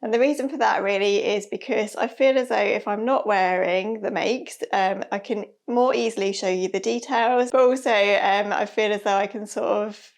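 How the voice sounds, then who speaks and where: A woman in her thirties chats to camera in a relaxed, friendly way. Her voice has a gravelly, fry-tinged edge. She sounds a touch hoarse and nasal from a cold, and speaks at an even, middle pitch. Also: normal speed, hardly any pauses. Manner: chatty, friendly, with soft laughs. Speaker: sewing vlogger. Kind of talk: casual chat to camera. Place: bright room with a sewing machine and shelves. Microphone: lapel mic.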